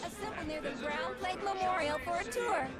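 A woman's voice: very high-pitched, fairly quick, over a noisy crowd. A woman voicing a cheerful, unflappable robot guide in a video game, formal and courteous as she instructs. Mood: ceremonious. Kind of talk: scripted video game announcements.

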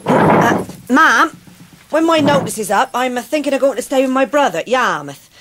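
A woman's voice: high voice, expressive, normal speed, heavy background noise. An actress playing a devoted, plain-spoken motherly servant with a rural English accent, in a radio drama. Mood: gentle, hesitant.

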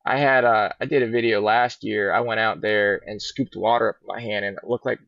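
A middle-aged man, a fishing guide, chatting in a relaxed, rambling way on a podcast, heard over a video call from a large indoor showroom. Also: on the low side, fairly quick, creaky, no room sound. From Virginia. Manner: easygoing, rambling storyteller. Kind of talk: casual podcast interview. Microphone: laptop webcam on a video call. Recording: muffled dull video call audio.

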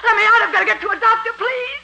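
An American actress playing a cornered, defiant murderess in an old radio melodrama. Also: female voice, very high-pitched, very fast, gravelly, pushed and bright, heavily acted. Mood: panicked. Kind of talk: 1940s-50s radio melodrama acting. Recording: old radio broadcast recording.

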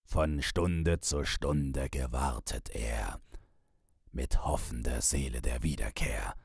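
A man plays a loyal house steward in a classic ballad reading. The voice is very deep and husky, grave and measured as he warns his master. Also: no room sound, studio quality, exaggerated voice. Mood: grave warning. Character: horrified, honest male house steward warning his master.

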